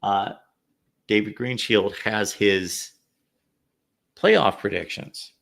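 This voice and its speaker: A man hosting a live call-in sports show, a little raspy from no sleep, chatting through viewer comments and game analysis. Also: lowish voice, takes it slowly, a bit bright. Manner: reads viewer comments aloud, conversational analysis. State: slightly raspy from lack of sleep. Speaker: host.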